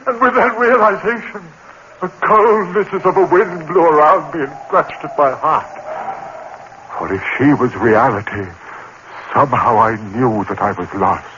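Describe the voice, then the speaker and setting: A man narrating a radio horror drama in the first person, frantic and haunted, with sudden jumps into high pitch. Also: normal speed, sharp tone. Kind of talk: 1930s radio horror acting. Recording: dull old radio broadcast with faint hiss.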